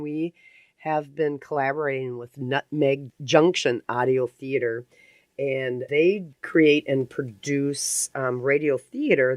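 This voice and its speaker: A woman's voice: rumbling low, no room sound. Woman radio host, close dry studio sound. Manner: effusive, quick to laugh. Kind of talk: casual chatty interview.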